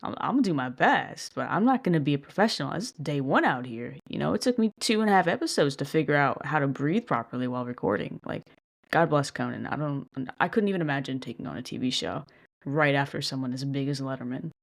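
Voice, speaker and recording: Monotone woman hosting a casual podcast. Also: deep voice, fast, vocal fry. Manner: self-described monotone delivery; chatty, self-deprecating, mild swearing. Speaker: podcast host. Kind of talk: casual solo commentary.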